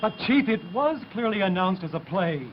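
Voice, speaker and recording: An exasperated, earnest official pleads his case in a high voice. It is period TV drama with dull sound and faint noise. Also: expressive, fairly quick.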